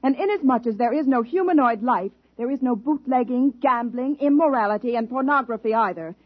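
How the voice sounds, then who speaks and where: An American actress playing a stern, formal, impatient expedition commander in a 1950s science fiction radio drama. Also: female voice, fairly high pitch, brisk, dry recording, lightly acted. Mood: impatient, insistent. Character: stern, by-the-book female space expedition commander. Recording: dull lo-fi 1950s radio recording.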